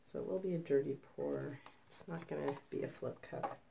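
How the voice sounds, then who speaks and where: A woman's voice: low voice, moderate pace, creaky, background noise. A woman with a cold, chatting casually as she demonstrates a paint pour at her home craft table, recorded on an overhead phone. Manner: chatty, self-deprecating asides. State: has a cold, snuffly. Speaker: acrylic pour artist. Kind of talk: casual tutorial chat while working. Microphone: phone camera overhead.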